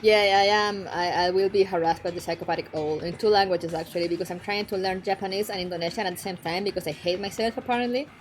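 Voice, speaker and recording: A woman's voice: fairly low pitch, steady tone, quick pace, few pauses, soft background music. Giggly streamer woman with a Spanish accent. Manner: giggly and rambling, often laughing between lines. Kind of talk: casual live-stream chat with viewers.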